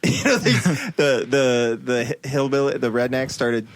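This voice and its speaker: A man in casual podcast chat, amused and quick to laugh. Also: mid-range voice, dry recording. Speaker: podcast crew member.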